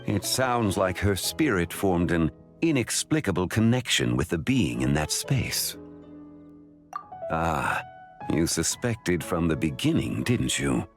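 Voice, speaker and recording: Deep-voiced, courteous scholarly gentleman. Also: big pitch swings, soft background music, exaggerated voice. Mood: calm, explanatory. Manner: formal, measured, explanatory. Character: composed, courteous, learned gentleman consultant, male adult. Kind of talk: video game voice acting.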